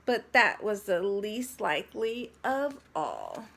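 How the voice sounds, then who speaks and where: A woman reading a children's story aloud, with deliberate phrasing and expressive narration. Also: mid-range voice, vocal fry, a little hiss or hum.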